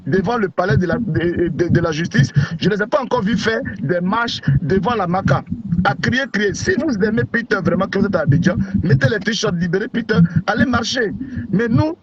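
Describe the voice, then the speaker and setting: A man's voice: high voice, lively intonation, a little fast. A man, an outspoken blogger talking emphatically and repeating himself as an interview guest over a call. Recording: dull lo-fi call audio with noise.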